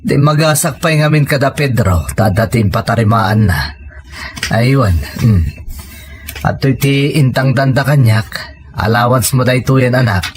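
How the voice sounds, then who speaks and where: A man's voice: mid-range voice, moderate pace, a little hiss or hum, close to an everyday voice. A man with a Filipino accent playing a hard-working, devoted rural father in an audio drama, earnest and plain-spoken. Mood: tender. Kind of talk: audio comedy-drama acting.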